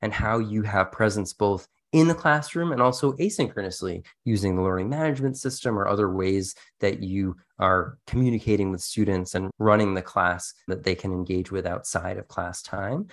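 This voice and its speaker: A man with a fairly low voice speaks as a podcast co-host. He explains ideas in an earnest, encouraging and conversational manner, like an educator sharing advice with colleagues. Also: normal speed, hardly any pauses. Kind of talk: conversational teaching-tips discussion.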